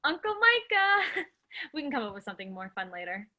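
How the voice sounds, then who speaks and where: An actress in a staged play reading, playing an upbeat, affectionate and excitable young pregnant woman. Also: high voice, brisk, full character voice. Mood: excited.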